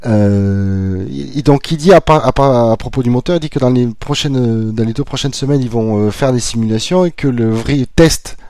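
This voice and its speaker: A man's voice: medium pitch, normal speed, few pauses, no room sound. Laid-back man, dry close sound. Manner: relaxed, laughs often, trails off. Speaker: podcast co-host. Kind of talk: casual motorsport chat.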